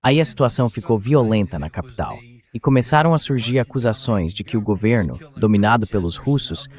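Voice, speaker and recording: A man with a Brazilian accent speaking as an interview guest in a translated voice-over, explaining in a conversational way. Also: mid-range voice. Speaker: interview guest giving political commentary.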